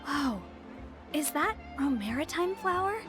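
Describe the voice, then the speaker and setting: Onlooker at a launch, game role: high voice, unhurried, curious and impressed. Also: female voice, expressive, over music. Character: curious, impressed female audience member at a launch. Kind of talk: video game voice acting.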